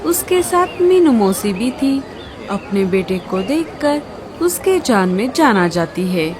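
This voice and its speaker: Expressive, high-voiced woman storyteller. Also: slow, crowd noise. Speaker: narrator. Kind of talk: scripted children's story narration.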